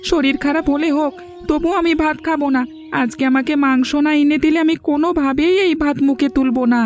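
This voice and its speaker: A woman's voice: high voice, steady tone, fairly quick, faint music behind, natural voice. A woman voicing a stubborn, petulant young child in a cartoon, whining and insistent. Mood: stubborn, sulky. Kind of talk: cartoon voice acting.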